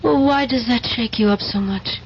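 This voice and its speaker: An actress playing a teasing, persuasive young intelligence agent, in old-time radio mystery style. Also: female voice, a little high, normal speed, close to an everyday voice. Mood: self-assured. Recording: muffled, noisy radio drama recording.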